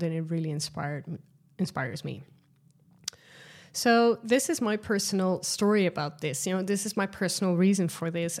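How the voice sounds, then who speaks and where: Dutch-accented woman, lowish voice. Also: a little mellow. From Netherlands. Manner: chatty, self-correcting, laughs easily. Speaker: business coach and podcast host. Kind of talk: solo podcast monologue.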